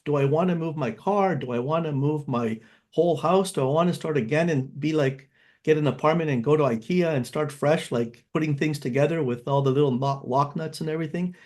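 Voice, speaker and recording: A man's voice: fairly high pitch, darkish tone. A man in his fifties who grew up in Canada, hosting an online seminar as a financial advisor and speaking in a casual, conversational way with frequent filler words. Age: 50s.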